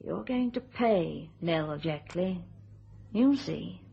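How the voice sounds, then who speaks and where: An actress playing a bitter, steely widow in a radio thriller, with a British accent and a controlled, deliberate delivery. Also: female voice, fairly low pitch, expressive, creaky, full character voice. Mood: bitter, vengeful. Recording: dull lo-fi radio sound.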